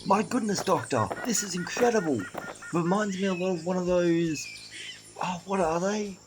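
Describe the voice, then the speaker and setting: A man's voice: sits high, normal speed, natural voice. A man playing an eager, wry travelling companion in a fan audio drama. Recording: noisy ambience behind the voice.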